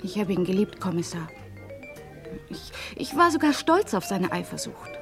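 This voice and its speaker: An actress playing an emotional Paris nightlife performer and grieving mistress in a German radio crime drama, halting and dramatic. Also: female voice, mid-range voice, big pitch swings, gravelly, over music. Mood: wounded, resigned. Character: emotional female Paris nightlife performer, murdered doctor's lover.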